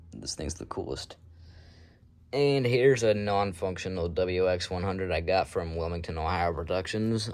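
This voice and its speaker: Casting: man, low-pitched, casual hobbyist vlogger, offhand and self-deprecating delivery, unscripted rambling. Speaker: hobbyist showing a radio collection. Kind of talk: casual unscripted show-and-tell.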